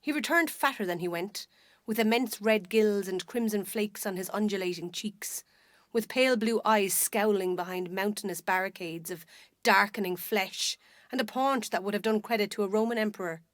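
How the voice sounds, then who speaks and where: A woman's voice: mid-range voice, moderate pace, fairly bright tone, no room sound. Irish woman narrating, close and dry. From Ireland. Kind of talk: scripted dramatic literary narration.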